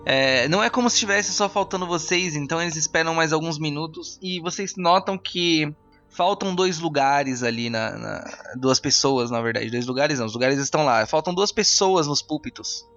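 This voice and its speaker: A man's voice: a little high, moderate pace, a bit bright, lo-fi sound, faint music behind. A man with a Brazilian accent improvising narration as game master, conversational, often repeating himself as he searches for words.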